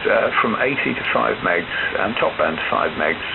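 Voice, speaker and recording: A man chatting casually and technically as an amateur radio operator, in a hesitant, halting manner, heard over a shortwave radio transmission. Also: on the low side, lively intonation, gravelly. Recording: hissy narrow-band shortwave radio signal. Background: radio static and hiss.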